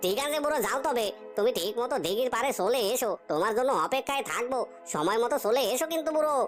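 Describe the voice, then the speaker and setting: A woman voicing an anxious, talkative village fisherman in a cartoon story, with a hurried, fussing manner. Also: on the high side, animated, faint music behind, natural voice. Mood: friendly.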